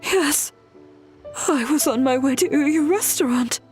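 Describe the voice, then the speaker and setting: A woman's voice: sits high, darkish tone, faint music behind. An actress voicing a timid, easily shaken young townswoman in an anime game, stammering and gasping as she talks. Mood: fearful. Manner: breathy gasps, stammering, drawn-out delivery.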